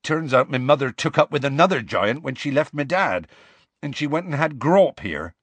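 A huge half-giant man from a storybook, soft-hearted and anxious. He pleads earnestly in rustic dialect, his pitch lifting and swooping, recorded close and dry. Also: animated, moderate pace, no room sound.

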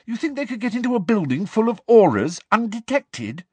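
A man's voice: high-pitched, big pitch swings, brisk, warm tone, slightly rough, dry recording, voice pushed up, exaggerated voice. A man voicing an anxious, earnest teenage girl witch who pleads and reasons in an audiobook. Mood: anxious, doubtful. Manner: worried, hesitant yet persistent. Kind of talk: audiobook character acting.

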